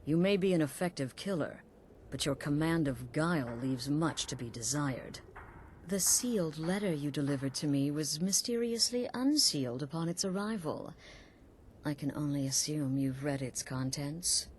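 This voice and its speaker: An actress voices a sultry, sly woman who leads assassins in a video game. Her voice is very deep, her delivery purring, taunting and theatrical. Faint noise sits behind her. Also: normal speed, darkish tone, natural voice. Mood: stern. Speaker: video game character actor.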